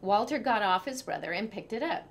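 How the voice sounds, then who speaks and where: A woman reads aloud as a storyteller. She narrates a children's picture book expressively, at a medium pitch for a woman. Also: expressive, fairly quick, creaky.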